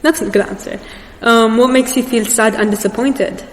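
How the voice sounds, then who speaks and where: A woman's voice: a little high, steady tone, moderate pace. A young woman asking questions as the interviewer in a casual interview. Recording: echoey reverberant room with background noise.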